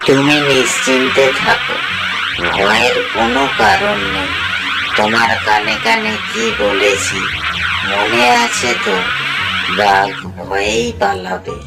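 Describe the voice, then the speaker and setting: Man acting a cartoon monkey dad, crafty, soothing, slow, creaky voice, music behind. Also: high-pitched, huge pitch swings, heavily acted. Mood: reassuring, scheming. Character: cunning, reassuring monkey husband and father. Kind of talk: cartoon dialogue.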